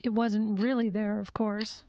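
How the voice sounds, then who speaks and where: A female psychologist, played by an actress in an audio drama, controlled and probing. Her medium-pitched voice delivers short, pointed questions. There is faint noise behind her. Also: level tone, a little slow, warm tone, dull audio, close to an everyday voice.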